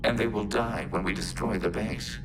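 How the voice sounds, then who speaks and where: An actor playing a calm, logical android from a machine collective, speaking precisely and formally without emotion. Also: male voice, lowish voice, normal speed, over music, close to an everyday voice. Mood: calm, detached. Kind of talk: video game dialogue acting.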